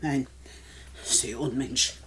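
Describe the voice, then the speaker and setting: An older woman playing a sharp-tongued, defiant, rich old widow in a 1960s German radio play, speaking with deliberate scorn. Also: very deep voice, fairly even intonation, heavily acted, low-quality recording. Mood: scornful, defiant.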